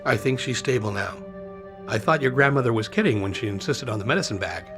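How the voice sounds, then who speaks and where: A man's voice: medium pitch, expressive, quick pace, music in the background, close to an everyday voice. An actor playing a tired, angry male fugitive agent who speaks tersely and defiantly in a game cutscene.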